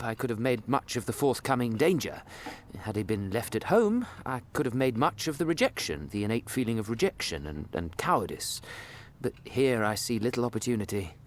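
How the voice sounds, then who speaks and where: A man's voice: mid-range voice, sing-song, normal speed, a little hiss or hum, lightly acted. A man playing a junior demon nephew in a radio drama, deferential, anxious and hesitant as he explains himself. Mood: nervous, apologetic. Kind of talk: radio theater acting.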